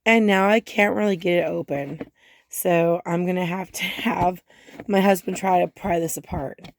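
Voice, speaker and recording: Hoarse, gravelly-voiced woman. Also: fairly low pitch, steady tone, moderate pace, dry recording. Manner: chatty and rambling, many fillers. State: hoarse, losing her voice. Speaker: gardening vlogger. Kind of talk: casual chat, unboxing.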